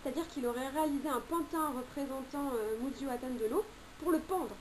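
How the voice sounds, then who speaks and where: A woman's voice: high voice, brisk, a little hiss or hum, poor audio. A woman giving an informal spoken commentary on tarot cards, chatty, with frequent hesitations and self-corrections.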